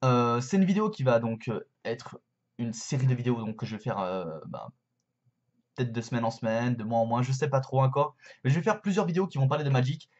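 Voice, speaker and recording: A man's voice: mid-range voice, fairly quick, a little mellow. Chatty young man on a dull webcam. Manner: chatty, lots of hesitations and fillers. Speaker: YouTuber explaining a card game. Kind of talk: casual explainer vlog to camera. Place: bedroom with shelves, indoors.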